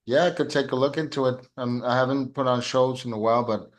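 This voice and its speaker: A man's voice: mid-range voice, steady tone, fast talker. Enthusiastic man saying yeah a lot. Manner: enthusiastic, repeats yeah, fillers. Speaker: musician, host. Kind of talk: casual video call chat.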